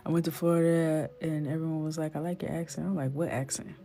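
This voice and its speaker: A woman's voice: low-pitched, steady tone, fast talker, vocal fry, mellow, soft background music. A young woman from New York chatting playfully while she colors on a livestream, slipping into whispers. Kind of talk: casual chat while coloring.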